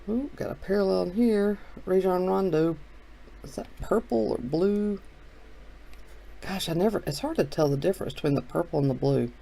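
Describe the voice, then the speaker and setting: A middle-aged man hosting a casual, relaxed chat, thinking aloud as he opens packs of cards. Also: high voice, moderate pace, gravelly. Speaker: card shop host. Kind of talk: casual chat while opening card packs.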